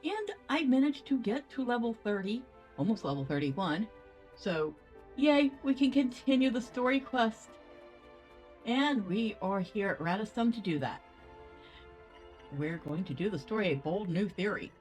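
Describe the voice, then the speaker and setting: A woman chats casually as she plays through a game. Her pitch moves around a lot, and soft music plays underneath. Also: expressive, measured pace. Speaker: gamer narrating playthrough. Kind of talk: casual let's play commentary.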